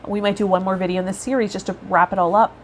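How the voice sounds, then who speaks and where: A woman vlogging casually and reflectively to her viewers, rambling a little and pausing mid-thought, with some guided meditation cues. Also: mid-range voice, little pitch movement, a little hiss or hum. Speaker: host of a tarot vlog and healer.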